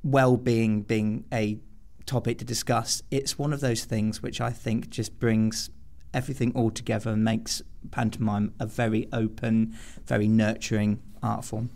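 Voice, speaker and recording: A man's voice: fairly low pitch, level tone, moderate pace, gravelly, hardly any pauses, darkish tone. A male student giving earnest, considered answers as an interview guest.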